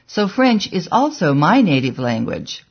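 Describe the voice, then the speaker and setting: A reader voicing a serious, deliberate man in glasses in an audiobook, on a dull, lo-fi recording. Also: mid-range voice, big pitch swings, husky, exaggerated voice.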